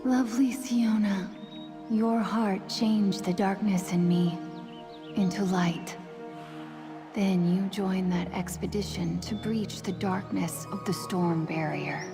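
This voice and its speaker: Wistful, creaky-voiced longing soul. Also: female voice, medium pitch, fairly even intonation, slow pace, music in the background. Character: longing character calling for a lost princess. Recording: distant voice under loud game score.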